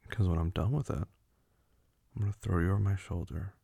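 A man playing a dominant, teasing lover in an intimate audio roleplay, murmuring in a breathy, husky voice that often drops to a whisper. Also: on the low side, lively intonation, no room sound, heavily acted. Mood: firm, controlled. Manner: slow, murmured, commanding, with soft hums.

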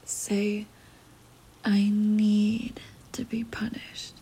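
A woman voicing a dominant, teasing young woman in a whispered ASMR roleplay, speaking in a breathy whisper with a slow, commanding manner. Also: mid-range voice, monotone, mellow, exaggerated voice, low-quality recording.

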